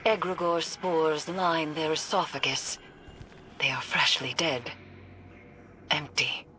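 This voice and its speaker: A woman's voice: deep voice, takes it slowly, pushed and bright, a little hiss or hum, exaggerated voice. A woman playing a grave, cryptic witch who counsels steadfastly in solemn, formal video game dialogue. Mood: grim, urgent.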